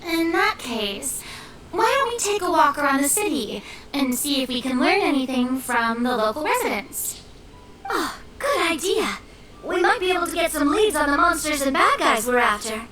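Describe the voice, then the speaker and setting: A woman's voice: high-pitched, big pitch swings, moderate pace, crowd noise. A woman voicing an eager, cheerful young adventurer who talks in an upbeat, friendly way. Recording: echoey game audio with music behind.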